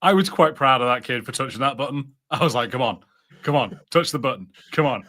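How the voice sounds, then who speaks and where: Bright-voiced man in casual podcast chat. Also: mid-range voice, animated. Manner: acts out imagined lines, halting starts. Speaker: podcast co-host.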